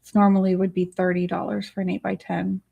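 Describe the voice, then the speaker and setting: A middle-aged woman with a raspy, hoarse voice, hosting a casual live chat from her home studio on a studio mic. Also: medium pitch, monotone, brisk, mellow. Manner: chatty, bubbly, giggly. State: hoarse, worn voice. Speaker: livestream host. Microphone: studio microphone.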